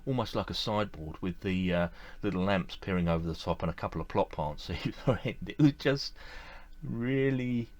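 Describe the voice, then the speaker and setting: A middle-aged man with a deep, low voice talks straight to the camera from an indoor room lined with display shelves. He rambles in an easygoing, enthusiastic way, full of fillers and repeated words. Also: moderate pace, a little mellow. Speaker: model railway collector vlogger. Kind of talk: casual to-camera review.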